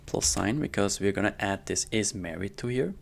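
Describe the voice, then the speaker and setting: A man giving a casual, step-by-step coding tutorial in an informal way, often checking in with the listener, with a gravelly voice. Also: fairly low pitch, lively intonation, moderate pace. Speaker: programming tutorial instructor. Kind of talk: casual screen-recorded coding lesson. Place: indoors at a computer.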